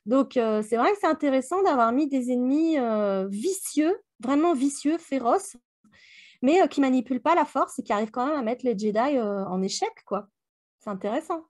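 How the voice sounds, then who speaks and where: A woman in relaxed, informal fan discussion as a book club co-host, speaking slangily. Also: high-pitched, moderate pace. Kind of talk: casual chat, fan discussion.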